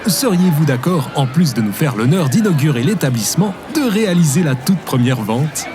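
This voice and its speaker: A man's voice: on the high side, big pitch swings, normal speed, music in the background. A man playing a formal, deferential, eager shop manager in an audio drama.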